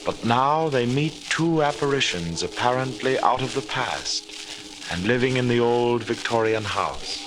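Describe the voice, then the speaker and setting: A man narrating a mystery in scripted 1940s radio style, with a dramatic, deliberate delivery. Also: medium pitch, lively intonation, close to an everyday voice. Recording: noisy old 1940s radio recording.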